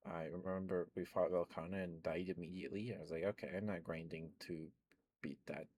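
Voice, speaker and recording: Young guy low voice rambling soft asmr chat, dry close mic. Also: steady tone, brisk, no room sound. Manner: soft-spoken, rambling, chuckles often. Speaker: ASMR streamer.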